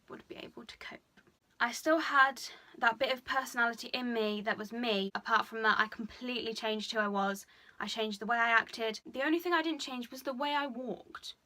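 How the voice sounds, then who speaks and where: Young girl vlogging, kinda high voice, super bright, lots of um and like, just being real. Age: young adult. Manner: chatty and frank, many fillers like "um" and "like"; deliberately speaking lower than usual. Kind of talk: candid personal confession.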